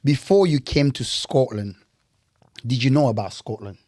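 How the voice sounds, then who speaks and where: A man's voice: medium pitch, expressive, moderate pace, a little mellow. A man hosting a podcast, interviewing in a casual style and often repeating words and phrases back. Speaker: podcast host.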